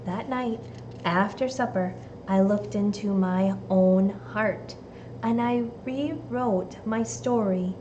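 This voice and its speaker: A woman from the United States reading a storybook aloud and giving children simple, deliberate instructions like a teacher. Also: mid-range voice, a little mellow, dull audio, a little hiss or hum. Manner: deliberate, simple phrasing addressed to young children.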